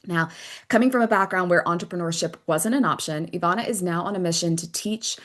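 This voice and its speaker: A woman podcast host with a slightly low voice. She speaks as an interviewer, presenting prepared material and talking conversationally with a guest. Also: a little fast, fairly bright tone. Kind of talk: scripted introduction and conversational interviewing.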